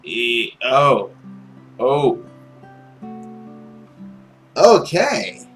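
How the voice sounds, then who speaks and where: A man doing casual gaming commentary and reading a visual novel story aloud, with expressive, emphatic reactions. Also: mid-range voice, drawn-out words. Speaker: let's player narrating a visual novel. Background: guitar music.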